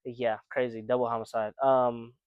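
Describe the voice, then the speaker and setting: Young man, podcast co-host, rambling, smooth resonant voice, dry close sound. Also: mid-range voice, little pitch movement, normal speed, dry recording, muffled recording. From United States. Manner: rambling, many fillers and restarts. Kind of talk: casual chat.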